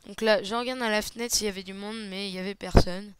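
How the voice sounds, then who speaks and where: A man giving casual, hesitant play-by-play commentary over a shooter match, slightly hoarse, with lots of fillers. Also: sits high, fairly even intonation, fast, fairly bright tone, no room sound. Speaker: gaming channel host. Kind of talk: gameplay commentary.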